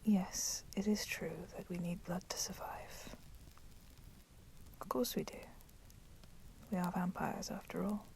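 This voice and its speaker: A woman voicing a proud, resentful female vampire in a whispered ASMR roleplay, speaking softly and deliberately in formal, menacing phrases. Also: lowish voice, fairly even intonation. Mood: bitter, proud. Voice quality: soft, breathy whispering. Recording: constant crackling fire noise behind voice. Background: crackling fire.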